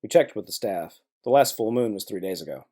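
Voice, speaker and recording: An actor playing a gruff, no-nonsense police chief in a noir audio drama, brusque and businesslike. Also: male voice, on the low side, expressive, a little fast, dark tone. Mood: impatient, commanding.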